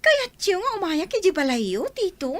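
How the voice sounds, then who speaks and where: Woman acting a very high-pitched, plaintive young girl. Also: sing-song, moderate pace. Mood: shy, polite. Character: young girl, hurt and plaintive, respectful to elders. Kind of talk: Ilocano radio drama acting.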